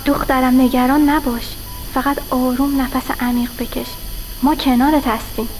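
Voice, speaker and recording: A woman's voice: sits high, level tone, natural voice, rough recording. An actress playing a worried mother, speaking in a strained, comforting way. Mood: soothing. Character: worried mother, adult woman.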